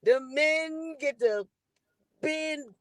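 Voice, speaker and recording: A woman's voice: sits high, very slow, no echo, clean studio sound. A woman in her forties, a comedian, chatting casually and playfully on a video call. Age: 40s. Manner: laid-back, playful, trailing off. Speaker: comedian guest. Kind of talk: casual chat.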